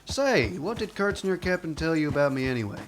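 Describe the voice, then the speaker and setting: An actor playing a guarded, weary former outlaw turned sheriff, answering tersely and dryly in a radio drama. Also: male voice, a little high, sing-song, brisk, a little hiss or hum.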